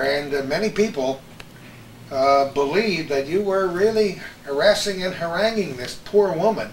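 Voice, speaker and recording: Roomy, slightly high-voiced American man hosting. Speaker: host of a chat show. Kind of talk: casual reminiscing interview. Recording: roomy, distant mic, faint hiss.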